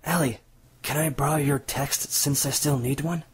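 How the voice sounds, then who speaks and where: Man playing a friendly, highish-voiced teenage boy. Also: steady tone, normal speed, breathy edge. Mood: friendly casual. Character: friendly, easygoing teenage boy, new student. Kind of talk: amateur radio drama acting. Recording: noisy, busy crowd ambience behind.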